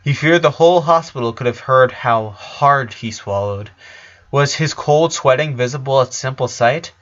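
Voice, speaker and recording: A man's voice: medium pitch, normal speed, fairly bright tone. A man reading a story aloud as a scripted narration, on a slightly dull recording. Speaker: fan fiction reader.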